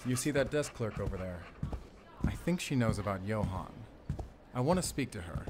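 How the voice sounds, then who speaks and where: An actor playing a composed, dryly joking German wolf hunter and spy in an audio roleplay, with a German accent and a measured, formal manner. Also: male voice, on the low side, lively intonation, noisy background, close to an everyday voice. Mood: calm, confiding. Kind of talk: cinematic audio roleplay acting, speaking to the listener.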